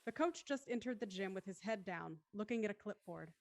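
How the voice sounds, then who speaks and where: A woman narrates a scripted drama by reading stage directions. Her pitch is average and the sound is dry, with no room echo. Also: expressive, brisk, a little mellow.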